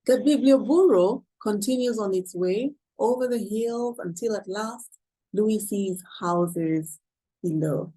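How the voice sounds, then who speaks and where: A woman tells a children's story in a husky, breathy voice. She takes her time with the phrases and reads expressively, turning to the young listeners as a storyteller does. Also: mid-range voice, poor audio. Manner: expressive, pausing to ask listeners questions. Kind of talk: read-aloud picture book narration for children.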